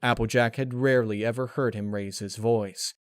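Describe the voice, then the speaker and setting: A man reading a scripted piece of fiction as narrator, in a measured, serious, dramatic storytelling manner. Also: mid-range voice, steady tone, smooth, dry recording, clean studio sound.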